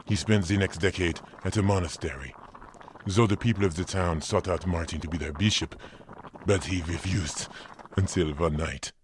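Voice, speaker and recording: A man's voice: low-pitched, moderate pace, a little hiss or hum. A man narrating a scripted audio drama as its storyteller.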